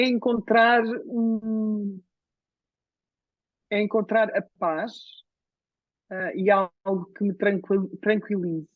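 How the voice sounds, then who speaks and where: A woman with a Portuguese accent speaks slowly and reflectively as a coaching client, often pausing and restarting. Her voice comes through a dry, dull, lo-fi video-call line. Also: mid-range voice, no echo. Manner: hesitant, reflective, many pauses and restarts. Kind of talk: live coaching session.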